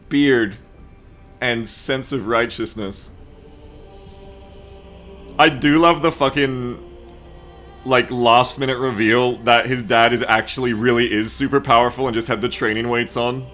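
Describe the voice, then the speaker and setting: A man's voice: mid-range voice, unhurried, long pauses, fairly bright tone. A man streaming games, chatting casually and jokingly, swearing freely. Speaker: game streamer. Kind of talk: casual stream chat about a game. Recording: music behind the voice. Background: music.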